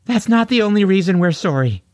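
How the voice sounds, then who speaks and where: A man voicing a tearful, loving mother with a high, raspy voice in a children's audiobook. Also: lively intonation, brisk, no room sound, studio quality.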